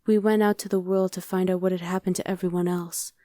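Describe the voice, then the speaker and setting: A woman's voice: fairly low pitch, flat delivery, quick pace, warm tone, no echo, clean studio sound, natural voice. A woman playing a friendly, streetwise young survivor of a demon invasion in an ASMR roleplay, talking casually and matter-of-factly to the listener with some hesitation. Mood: matter-of-fact, subdued. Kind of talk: ASMR roleplay, conversational acting addressed to the listener.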